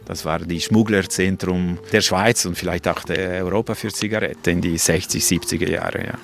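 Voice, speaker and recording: A middle-aged man with a Swiss-Italian accent giving informal interview answers in halting, non-native phrasing. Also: low-pitched, steady tone, gravelly. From Ticino, Italian-speaking Switzerland. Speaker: local hospitality host being interviewed.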